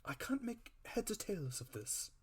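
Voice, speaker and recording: A man voicing a shrewd, level-headed gentleman doctor in a dramatic audiobook, measured and dry in manner. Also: sits high, big pitch swings, slightly rough, pitched above the performer's own voice, full character voice. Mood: puzzled.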